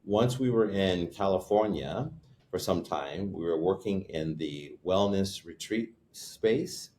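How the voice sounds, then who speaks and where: A man's voice: low-pitched, animated, slow, a little mellow, slight background noise. A man who co-hosts a wine retreat, telling a rambling story in casual chat, often stopping to correct himself. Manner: rambling, searches for words, self-corrects. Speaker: guest, wine retreat co-host.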